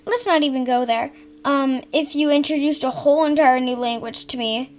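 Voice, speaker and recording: A woman's voice: sits high, normal speed. A young girl talking candidly with her mother on a podcast, hesitant and searching for words. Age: young, child. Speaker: guest, host's daughter.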